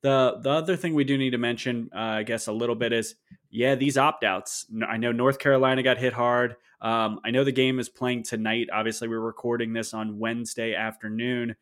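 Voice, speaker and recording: A man's voice: mid-range voice, steady tone, fairly quick, fairly bright tone. Dry-humored man in casual sports talk. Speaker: podcast co-host. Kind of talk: casual sports analysis chat.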